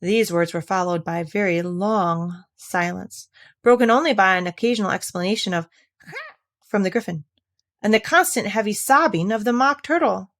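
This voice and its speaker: A woman's voice: mid-range voice, moderate pace, a bit bright. A woman narrating a children's classic, reading aloud expressively as a storybook narrator. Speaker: audiobook narrator.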